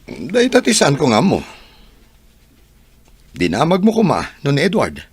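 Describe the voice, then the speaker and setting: Man acting a gravelly, shrewd boss. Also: fairly high pitch, wildly swooping pitch, measured pace, no echo, heavily acted. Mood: probing. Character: shrewd, questioning male boss. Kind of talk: Ilocano comedy radio drama acting.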